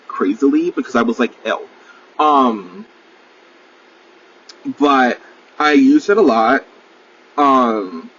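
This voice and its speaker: A young man rambles through a solo podcast, piling up fillers and casual swearing as he pokes fun at himself. His voice sits at an everyday middle pitch. The recording sounds a little muffled, with a faint hiss underneath. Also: fairly bright tone. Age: 20s. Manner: rambling, full of fillers, swears freely, self-deprecating. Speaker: podcast host.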